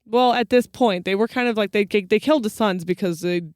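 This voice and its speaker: A woman's voice: medium pitch, a little fast, darkish tone, no echo, clean studio sound. A woman co-hosting a podcast, telling folklore in a casual, teasing, storytelling way. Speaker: podcast co-host. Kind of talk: casual banter about folklore.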